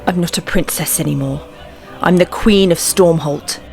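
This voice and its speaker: A woman's voice: on the low side, creaky, a little mellow, faint music behind. A woman playing a proud, resolute young warrior queen in a fantasy fandub, speaking firmly and solemnly. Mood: defiant. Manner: firm, solemn, deliberate. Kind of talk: fantasy audio drama fandub acting.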